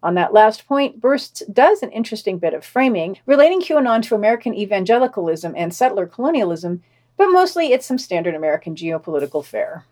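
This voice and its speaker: A woman's voice: mid-range voice, very animated, a little fast, a little mellow. A woman hosting a podcast, reading scripted summaries. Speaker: podcast host.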